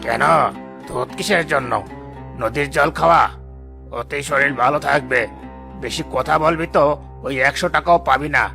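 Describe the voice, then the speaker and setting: A man voicing a greedy, bullying fish trader in a cartoon, creaky-voiced, with a mocking, domineering manner. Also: a little high, moderate pace, long pauses, bright, forceful tone, faint music behind. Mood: mocking, scornful. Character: greedy, sneering, bullying male fish trader and boat owner. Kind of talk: dramatised cartoon dialogue.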